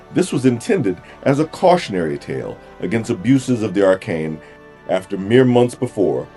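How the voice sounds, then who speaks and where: A man narrates a fantasy audio drama in a very deep, dark voice. His measured storytelling moves through lively, theatrical intonation. Faint music runs underneath.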